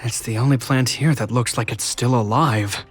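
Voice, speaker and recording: A man voicing an earnest, long-suffering young brother in a video game, with a measured and dryly practical manner. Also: mid-range voice, fairly even intonation, close to an everyday voice. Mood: dry, resigned.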